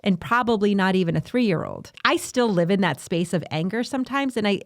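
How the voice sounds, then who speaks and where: A woman's voice: mid-range voice, expressive, a little fast, no room sound, studio quality. A middle-aged woman hosting a podcast interview, asking questions in a casual, chatty way and sharing her own stories. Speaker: grief podcast host.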